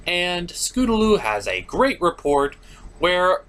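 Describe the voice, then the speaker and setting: A man's voice: high-pitched, very animated, slow pace, a bit bright. A man hosting a comic scripted review, narrating with theatrical, sarcastic, mock-dramatic emphasis. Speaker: cartoon reviewer, show host.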